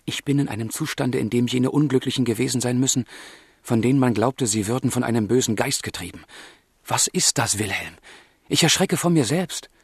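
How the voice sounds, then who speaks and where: An actor playing a passionate, despairing young man in a literary radio drama, with a husky voice and theatrical delivery. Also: mid-range voice, brisk, no echo, clean studio sound. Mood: anguished, brooding. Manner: anguished, theatrical, swinging from hushed to impassioned.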